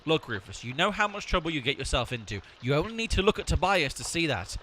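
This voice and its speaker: A man plays a bossy, sarcastic amateur detective in a comic audio drama. His voice sits fairly high and is very bright and forceful. Faint noise runs behind it. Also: big pitch swings, fast. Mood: exasperated.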